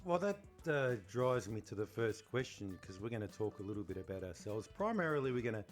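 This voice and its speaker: A man, co-hosting a podcast and asking questions in a casual, halting way. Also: fairly low pitch, sing-song, faint music behind. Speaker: podcast co-host. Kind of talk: casual chat, interviewing.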